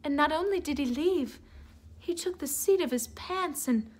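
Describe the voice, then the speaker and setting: A woman reading a storybook aloud like a teacher to children, theatrical and expressive, with asides to her listeners. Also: sits high. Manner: theatrical, expressive, drawn-out emphasis.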